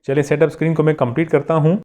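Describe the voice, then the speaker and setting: A man's voice: on the high side, level tone, rapid-fire, dark tone. A man hosting a tech tutorial, narrating step by step in a polite, instructional way and repeating key steps.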